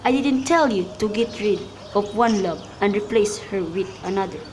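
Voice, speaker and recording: A woman playing a holy friar and gently scolding mentor in an amateur radio play, reciting carefully with small stumbles. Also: medium pitch, lively intonation, moderate pace, lightly acted. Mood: chiding. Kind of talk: student radio drama, recited modern Shakespeare. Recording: clear background noise with birdsong.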